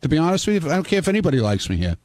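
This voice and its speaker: A man's voice: fairly high pitch, big pitch swings, racing, darkish tone, dry recording. A man in heated, unscripted talk, blunt, profane and combative, as a staff member on a radio show.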